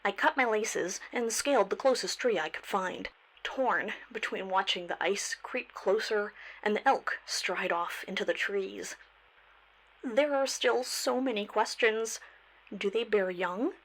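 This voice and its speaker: A woman playing a field naturalist doctor, giving a measured, observant first-person report of a strange expedition. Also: medium pitch, fairly bright tone, rough recording. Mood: wondering. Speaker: voice actor.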